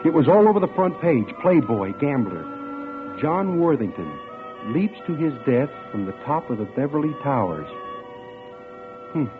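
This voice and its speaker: A man's voice: fairly high pitch, sing-song, unhurried. An actor playing a man narrating his own story in a 1940s radio drama, with a wry manner. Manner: wry first-person storytelling. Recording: old lo-fi radio recording with music.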